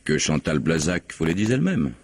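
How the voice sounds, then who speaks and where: An actor playing an insistent, skeptical police investigator in a radio mystery, probing methodically with formal politeness. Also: male voice, very deep voice, sing-song, brisk, breathy edge, heavily acted. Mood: confident. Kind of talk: French radio mystery drama acting.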